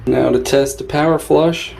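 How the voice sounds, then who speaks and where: A man's voice: fairly high pitch, steady tone, normal speed. A man casually narrating his hands-on repairs in a wry, joking way. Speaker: host, DIY repair vlogger. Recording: clear background noise.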